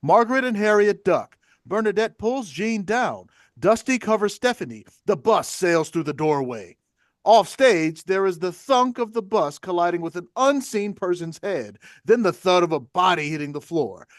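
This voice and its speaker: A man's voice: high voice, animated, normal speed, dry recording, studio quality. A man reading stage directions as scripted narration at a play table read.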